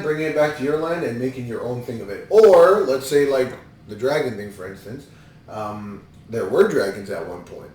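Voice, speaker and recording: A man's voice: medium pitch, a little mellow. Rambling man in an echoey room. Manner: rambling, incredulous. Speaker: podcast host reviewing a movie. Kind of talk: casual movie commentary. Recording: echoey room, distant mic.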